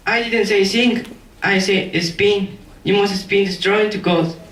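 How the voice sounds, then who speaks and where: A woman voicing a commanding, greedy male king in an imperious, booming manner for a told fairy tale. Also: fairly even intonation, unhurried, a bit bright, close to an everyday voice. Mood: demanding. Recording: roomy, distant, faint noise.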